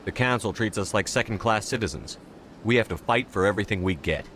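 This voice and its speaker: A man's voice: lowish voice, fairly quick, a bit bright, a little hiss or hum, lightly acted. A man playing a human military commander in a video game, direct and matter-of-fact as he asks probing questions. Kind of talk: video game dialogue acting. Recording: music behind the voice.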